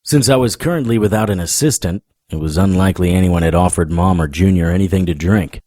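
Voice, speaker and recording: A man playing a wry, world-weary supernatural private detective, narrating a noir radio drama in a deadpan, sardonic way. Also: low-pitched, fast, no room sound, studio quality.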